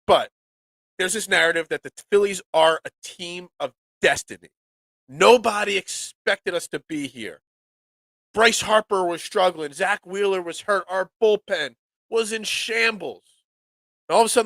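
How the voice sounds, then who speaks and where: A man hosting a live sports talk show, nasal and congested from a cold, excitable and rambling. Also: sits high, no echo. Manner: excitable, rambling, restarts often.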